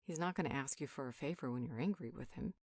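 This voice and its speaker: Woman playing a low-voiced, wise healer. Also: fast talker, darkish tone, no echo, clean studio sound. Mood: coaxing. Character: calm, wise female healer counseling an upset friend. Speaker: voice actor in roleplay audio. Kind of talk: conversational roleplay monologue, one side of a dialogue.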